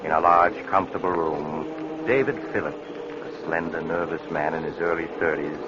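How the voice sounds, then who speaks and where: An actor playing a sinister yet genial mystery host, narrating deliberately and ominously in 1940s radio style. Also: male voice, rumbling low, steady tone. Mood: scene-setting. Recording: dull old radio recording, loud noise.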